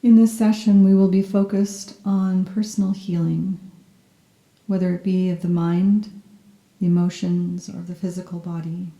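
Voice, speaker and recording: A soft-spoken woman guiding a yoga nidra meditation in a gentle, soothing and unhurried manner. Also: lowish voice, little pitch movement, reverberant, highs cut off. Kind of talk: guided meditation, scripted narration.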